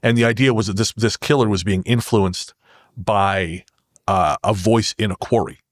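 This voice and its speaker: A man chatting as a podcast guest about his writing, rephrasing mid-sentence in an earnest, halting way. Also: on the low side, dry recording, studio quality. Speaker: podcast guest, horror fiction writer. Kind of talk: casual podcast conversation, storytelling.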